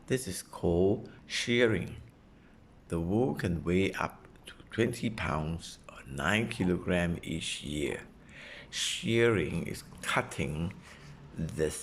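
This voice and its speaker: A man reading a children's nonfiction book aloud as a parent would, in a deliberate way suited to a young child. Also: sits low, creaky, slight background noise. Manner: deliberate, repeating and simplifying phrases for a child.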